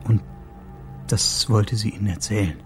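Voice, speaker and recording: An actor playing a sober, bereaved husband in a radio play, speaking in a subdued, matter-of-fact and slightly halting way. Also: male voice, lowish voice, fairly even intonation, faint music behind, close to an everyday voice. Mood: sober, informative. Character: bereaved husband, a sober man reporting his wife's death.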